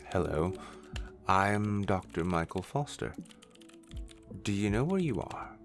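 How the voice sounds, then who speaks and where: A man voicing a careful, friendly male scientist in a measured way for an audio story. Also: low voice, big pitch swings, full character voice. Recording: music under the voice.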